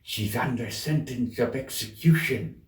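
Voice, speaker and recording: A man voicing a timid, anxious rabbit in a storybook reading, speaking in hushed, nervous, halting whispers. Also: medium pitch, far from the mic, natural voice. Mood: anxious, secretive. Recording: roomy echo, off the mic.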